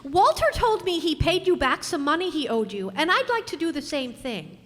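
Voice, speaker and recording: A woman's voice: sits high, sing-song, normal speed, a bit bright. A woman playing an eager, polite teenage schoolgirl with an earnest, girlish manner in an old-time radio comedy. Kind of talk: old-time radio sitcom acting before an audience. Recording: echoey hall, amateur stage performance.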